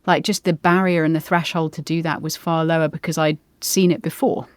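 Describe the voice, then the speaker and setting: A woman in her forties, a podcast guest, talking in a thoughtful, measured way with frequent pauses. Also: low-pitched, little pitch movement, no room sound, studio quality. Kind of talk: reflective conversation.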